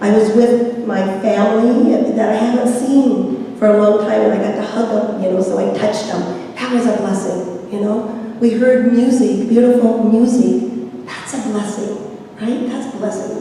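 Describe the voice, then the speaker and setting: A woman minister with a slightly raspy voice gives an informal, rambling, folksy sermon, inviting answers from listeners. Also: medium pitch, fairly even intonation, a little slow, warm tone. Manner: rambling, folksy, seeks audience responses. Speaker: minister leading a Sunday service. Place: large reverberant room at a service. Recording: echoey hall, distant mic, faint noise.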